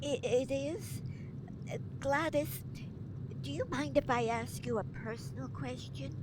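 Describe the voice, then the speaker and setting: A timid teenage girl acted by a woman in vintage radio comedy style. Her voice is rather high, hesitant and earnest. Clear car hum runs behind her. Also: measured pace. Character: shy, naive, nervous young teenage girl. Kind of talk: old-time radio comedy acting.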